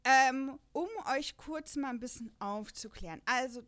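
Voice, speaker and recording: A young woman hosting a casual chat podcast, talking in a lively, bubbly way and laughing often. Her voice sits a little high. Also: measured pace, smooth. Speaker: podcast host.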